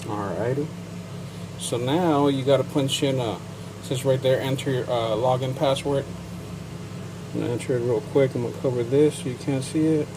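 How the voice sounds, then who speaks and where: Man, Chicano accent, bilingual, relaxed rambling how-to talk, humming noisy room. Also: mid-range voice, normal speed. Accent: Mexican-American. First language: bilingual English and Spanish. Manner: relaxed, rambling, with fillers and asides. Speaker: certified payment terminal technician. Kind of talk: casual how-to demonstration.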